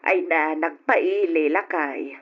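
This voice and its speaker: An actress playing a patient mother who calmly reasons with her husband in an old radio drama. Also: low-pitched, slow pace, breathy edge, heavily acted. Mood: soothing. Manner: soothing. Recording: dull old radio recording.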